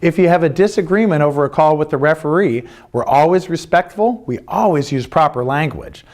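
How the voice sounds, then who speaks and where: A man's voice: a little high, lively intonation, fairly quick, darkish tone. A middle-aged American man giving an earnest, plain-spoken instructional presentation as a youth sports coach, stressing and repeating his key points. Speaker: youth lacrosse coach and club representative. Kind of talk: instructional presentation of club rules.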